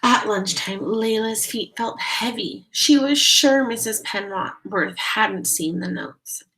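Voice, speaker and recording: A woman's voice: medium pitch, takes it slowly, poor audio. A woman reading a picture book aloud expressively, talking directly to young listeners. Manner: expressive, addressing children directly. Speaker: read-aloud storyteller.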